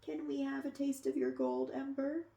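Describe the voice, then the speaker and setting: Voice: sits high, flat delivery, moderate pace, gravelly, dark tone, reverberant, voice pushed up. A reader voicing a greedy, pleading dragon in a storybook read-aloud. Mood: eager, pleading.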